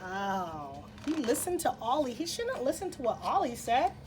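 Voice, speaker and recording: A woman's voice: a little high, big pitch swings, moderate pace, darkish tone, faint music behind. A woman hosting story time for children, reading a picture book expressively with chatty asides. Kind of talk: picture-book read-aloud with casual asides.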